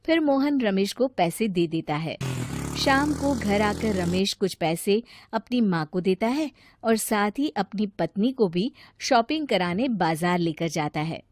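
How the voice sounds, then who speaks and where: A woman's voice: mid-range voice, lively intonation, unhurried, darkish tone. A woman narrator reading a scripted moral story.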